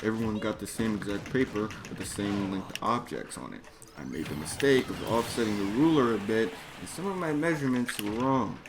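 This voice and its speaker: Low-pitched man telling an exasperated story. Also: expressive, fairly quick. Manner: emphatic, exasperated, swearing. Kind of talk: animated personal storytelling, profane slang. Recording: clearly noisy background.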